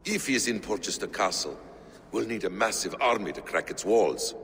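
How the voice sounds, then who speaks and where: A man playing a calm, calculating scout and adviser with a grave manner, in dramatic video game acting. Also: on the low side, normal speed. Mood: grave, measured. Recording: long hall reverb, faint noise.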